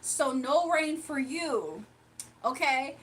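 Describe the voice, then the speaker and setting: A woman putting on the voice of a stiff female TV news anchor reading a teleprompter, in a slow, measured cadence. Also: sits high, expressive, a bit bright, slight background noise, heavily acted. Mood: formal.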